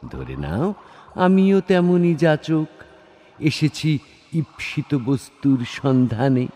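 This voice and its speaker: A man's voice: on the high side, sing-song, takes it slowly. A man playing a crafty god disguised as a begging Brahmin, goading in formal dramatic verse. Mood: sly, coaxing. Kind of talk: dramatic Bengali verse recitation. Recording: studio voice over soft music.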